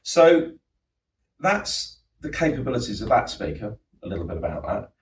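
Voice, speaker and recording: A man's voice: on the low side, very animated, moderate pace, a little hiss or hum. A middle-aged man, a home cinema dealer, explaining speakers with enthusiasm and frequent restarts in a showroom. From England. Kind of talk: showroom product walkthrough. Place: large showroom. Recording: roomy echo, distant mic.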